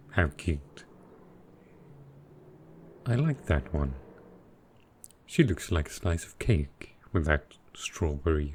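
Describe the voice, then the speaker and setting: A man playing a gentle, earnest dragon boyfriend in an intimate roleplay audio, musing softly and naively. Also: low voice, full character voice.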